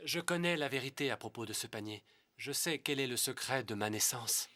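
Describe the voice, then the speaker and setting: An actor playing an earnest, humane young Hebrew prince with a resolute manner in a dubbed animated film. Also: male voice, mid-range voice, fairly quick.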